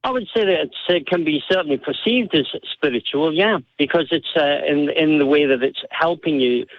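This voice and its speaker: A man's voice: a little high, brisk. A Scottish man calling in to a talk show, chatty and hesitant, with lots of fillers. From Scotland. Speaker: caller. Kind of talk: phone-in call. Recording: dull phone-line audio.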